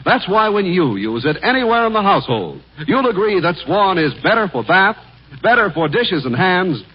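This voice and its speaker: A man's voice: high voice, very animated, moderate pace, breathy edge. A man with an American accent working as announcer and sidekick, in scripted vintage radio comedy and advertising. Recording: dull, slightly noisy 1940s radio recording.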